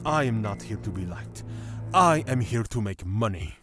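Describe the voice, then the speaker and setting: Clear music plays under a man acting a scornful mercenary dragon cleric in a fantasy audio drama. His voice sits on the low side, dry and condescending. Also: lively intonation, normal speed, a bit bright.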